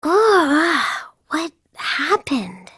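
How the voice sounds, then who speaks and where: A woman playing an excitable, flustered teenage schoolgirl in a video game. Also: sits high, drawn-out words, dry recording, studio quality, heavily acted. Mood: startled, flustered. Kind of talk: English video game voice acting.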